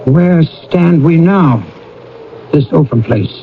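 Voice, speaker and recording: An actor playing a humbled old miser in a radio drama, halting, earnest and pleading. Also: male voice, a little high, expressive, background noise. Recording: muffled vintage radio broadcast.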